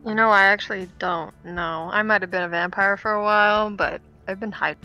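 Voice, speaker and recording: A woman's voice: mid-range voice, steady tone, fairly quick, sharp tone. A woman playing in a tabletop roleplaying game, speaking casually in character. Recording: dull audio, faint music.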